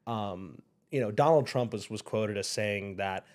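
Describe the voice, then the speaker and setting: A young man as a guest on an interview show, speaking thoughtfully, pausing often and restarting his sentences. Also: on the low side, a little mellow. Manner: thoughtful, hesitant, many fillers and restarts. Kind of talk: casual interview.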